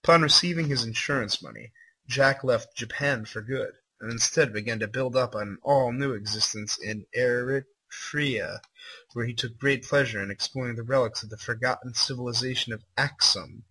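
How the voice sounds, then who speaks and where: Bright, husky-voiced man reading aloud. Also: medium pitch, rough recording. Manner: incredulous asides, breaks into laughter. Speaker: livestream host reading a fan story aloud. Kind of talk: live dramatic reading with mocking commentary.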